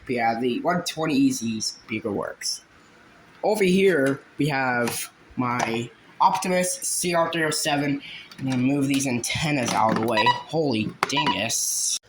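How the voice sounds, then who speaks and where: A man giving a casual, halting show-and-tell, informal and often trailing off. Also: medium pitch, a bit bright. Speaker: hobbyist video creator. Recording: noisy home video with clicks.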